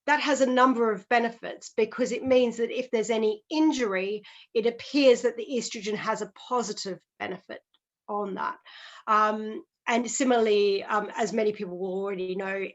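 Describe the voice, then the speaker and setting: A middle-aged Australian woman, a physiotherapist guest, explaining step by step in a measured way. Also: medium pitch, lo-fi sound. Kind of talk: explanatory interview talk.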